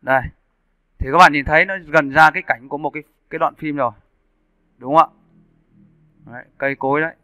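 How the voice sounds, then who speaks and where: A man narrating a casual software tutorial as an instructor, explaining step by step and checking in with his listeners. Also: on the high side, steady tone, quick pace, long pauses, smooth, dry recording. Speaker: 3D animation instructor. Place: indoors, screen-recording voice-over.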